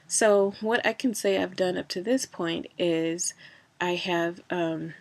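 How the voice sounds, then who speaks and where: A woman's voice: on the low side, slow. A woman talking in a casual personal vlog, rambling with many fillers and restarts, a bit stuffy from a cold.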